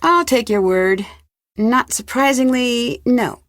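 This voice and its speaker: A young American woman detective in a mystery game. Her voice sits a little high and she speaks clearly, with a polite, questioning manner. The scripted lines are captured dry, with hardly any room sound. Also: slow, no room sound. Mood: polite, casual. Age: young adult. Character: young female amateur detective, polite, inquisitive, composed. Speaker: voice actress in a detective adventure game.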